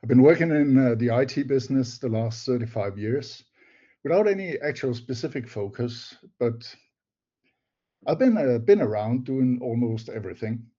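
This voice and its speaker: An older man with a Danish accent, a non-native English speaker, presents a webinar in a measured, slightly halting way. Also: medium pitch, animated, mellow. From Denmark. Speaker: presenter, technology advisor. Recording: dull lo-fi webinar audio.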